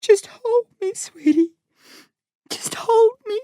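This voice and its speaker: A woman's voice: little pitch movement, moderate pace, gravelly, warm tone, dry recording, clean studio sound. A woman playing a weeping imprisoned mother. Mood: tearful. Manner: tearful, breaking into high pleading. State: crying.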